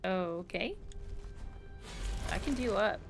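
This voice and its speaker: Creaky-voiced woman streamer over game music. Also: medium pitch, lively intonation, slow pace. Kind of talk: casual live gameplay commentary.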